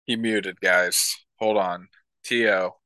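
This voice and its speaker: A young man chatting casually as a podcast co-host, with crude, deadpan jokes. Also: deep voice, slow, no echo. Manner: crude, joking, deadpan asides. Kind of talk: casual chat.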